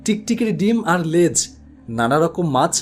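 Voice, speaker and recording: A man's voice: high voice, big pitch swings, normal speed, faint music behind. A man narrating a scripted spooky story in a dramatic, suspenseful way.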